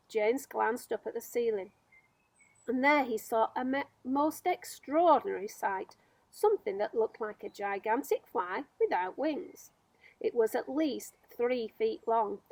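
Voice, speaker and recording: A woman reading a children's story aloud as a storyteller, with expressive narration. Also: on the high side, dry recording, poor audio.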